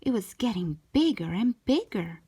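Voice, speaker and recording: A woman's voice: mid-range voice, very animated, normal speed, warm tone, husky. A woman narrating a children's book aloud as a storytime reader.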